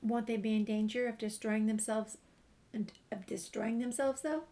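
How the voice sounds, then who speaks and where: Female walkthrough host: fairly high pitch, casual commentary, reads in-game choices aloud. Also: fairly even intonation, moderate pace, dark tone. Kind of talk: casual playthrough commentary, reading dialogue options aloud.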